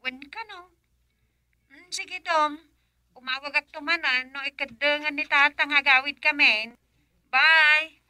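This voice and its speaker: Radio comedy role: chatty Filipino woman, actress, piercingly bright and high, recorded close and dry. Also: measured pace, long pauses, no echo, exaggerated voice. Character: chatty young woman named Rosa on a call. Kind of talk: Ilocano radio comedy drama acting.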